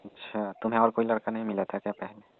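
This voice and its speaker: Actor as teasing, easygoing young male lover, deep creaky voice, prodding questions, tinny telephone sound. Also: big pitch swings, fast. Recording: narrow tinny phone line, faint noise.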